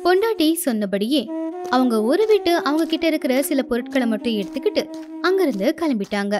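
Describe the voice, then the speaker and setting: A woman narrating a children's storybook cartoon in a steady, simple storytelling way. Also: high-pitched, brisk. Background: flute music.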